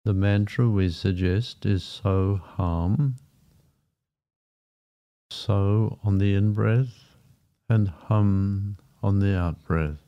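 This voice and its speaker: A man guiding a meditation in a gentle, unhurried manner, leaving long pauses between short phrases. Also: fairly low pitch. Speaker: meditation guide. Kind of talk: guided meditation, softly spoken. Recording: dull, muffled lo-fi sound. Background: background music.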